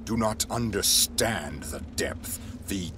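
A man playing an imperious, contemptuous and power-hungry dark lord in an audio drama, speaking deliberately with menace. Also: lowish voice, expressive, over music. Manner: slow, deliberate, condescending.

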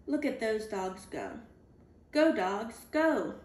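Woman, storybook reader, high voice, deliberate spaced phrases, roomy home recording, faint noise. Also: very animated, a little mellow. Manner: slow, deliberate, clearly spaced phrasing for young children. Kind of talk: reading a children's picture book aloud. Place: indoors at home, on a leather couch.

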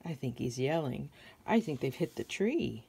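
A woman's voice: extremely low pitch, sing-song, moderate pace, vocal fry, warm tone, slightly rough. A middle-aged American woman reading a picture book aloud to children, adding simple questions and observations as she goes. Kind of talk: read-aloud storytelling with asides to young listeners.

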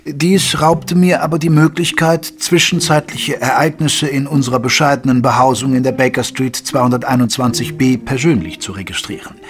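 An actor playing a caring gentleman physician who narrates a German audio drama in a measured, literary way. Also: mid-range voice, fairly even intonation, soft background music, natural voice. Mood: measured narrating.

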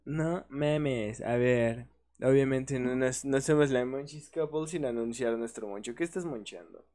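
A man's voice: mid-range voice, measured pace, mellow. A young man with a Mexican accent co-hosting a casual livestream chat, joking and slangy. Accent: Mexican Spanish. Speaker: podcast co-host.

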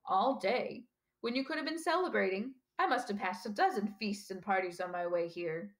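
A woman's voice: mid-range voice, normal speed, close to an everyday voice. A woman voicing a stern older female professor in an audiobook, with a clipped, emphatic, impatient manner. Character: stern older female professor, brisk, indignant, easily ruffled. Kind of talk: audiobook character dialogue.

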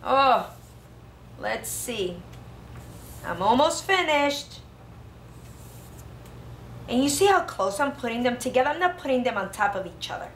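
A woman preschool teacher with a high voice that swings up and down in a sing-song way as she guides small children through a craft. She sounds exclamatory and instructional, recorded in an echoey classroom. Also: big pitch swings, a little slow, long pauses, a bit bright. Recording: roomy classroom echo, faint background noise.

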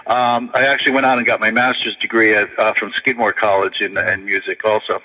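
A man's voice: fairly low pitch, little pitch movement, fairly quick, sharp tone, big-room sound, rough recording. A man, a blues pianist telling stories in a rambling, filler-heavy way. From Connecticut, USA. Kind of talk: casual interview storytelling.

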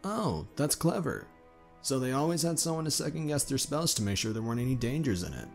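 An inquisitive young male dragon of an audio drama, played by a man. He is clever and chatty, with an expressive voice at an ordinary male pitch. Soft music plays underneath. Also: fairly quick, creaky. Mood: impressed, rambling.

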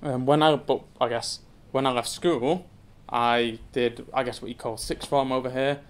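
A man's voice: mid-range voice, fairly even intonation, moderate pace, clear, resonant tone. Hesitant young man giving a talk. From England. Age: 20s. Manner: hesitant, many filler ums, casual and self-deprecating. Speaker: zoo community engagement assistant giving a talk. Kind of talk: personal story talk to an audience. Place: indoor wood-panelled function room with seated audience. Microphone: speaking at a lectern.

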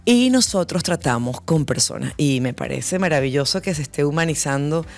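Lively woman hosting a show. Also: low-pitched, normal speed, heavy background noise. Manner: animated, exclaiming, laughing often. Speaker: host. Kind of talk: upbeat news chat. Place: small studio set. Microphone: studio mic on boom, wearing headphones.

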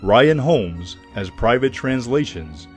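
A man's voice: mid-range voice, expressive, takes it slowly, soft background music. A man giving scripted narration and credits in an earnest, declarative manner.

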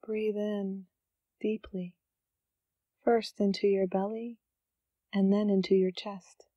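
A woman leading a scripted guided meditation, giving gentle, calm instructions with unhurried pauses. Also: medium pitch, dry recording, highs cut off.

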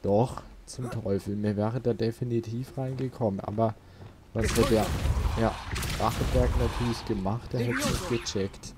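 A man's voice: on the low side, steady tone, moderate pace. A man giving casual let's play commentary, grumbling to himself and swearing mildly. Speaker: let's player. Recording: noisy, game sound behind voice. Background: video game ambience.

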